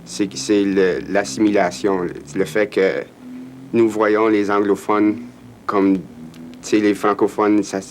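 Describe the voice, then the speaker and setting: A man answering in an interview, earnest and halting with frequent restarts. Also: lowish voice, level tone, slight background noise, rough recording. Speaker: francophone interviewee.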